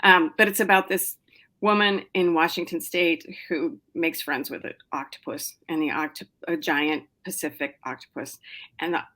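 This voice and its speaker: A woman's voice: low voice, fairly even intonation, moderate pace, bright, forceful tone. A middle-aged woman novelist chatting as a podcast guest, with lots of fillers, restarts and small laughs. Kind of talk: casual interview chat.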